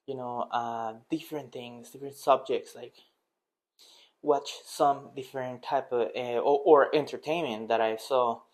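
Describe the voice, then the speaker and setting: A man's voice: medium pitch, fairly even intonation, unhurried. A man from Rica, a teacher giving a rambling, earnest motivational talk in English to his viewers. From Costa Rica. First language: Spanish. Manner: rambling, earnest, with many restarts and fillers. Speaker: Spanish teacher. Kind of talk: casual motivational talk to camera.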